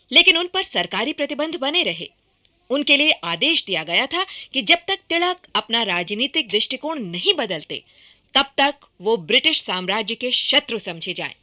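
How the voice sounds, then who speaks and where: A woman reads scripted narration for a radio documentary drama. Her voice is a little high, bright and forceful, with lively rises and falls. The delivery is dramatic and projected.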